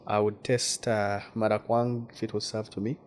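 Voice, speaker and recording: A man's voice: on the low side, flat delivery, moderate pace. A man from Western Uganda with a Ugandan accent, speaking as a guest journalist in a casual interview and often repeating words while he searches for them.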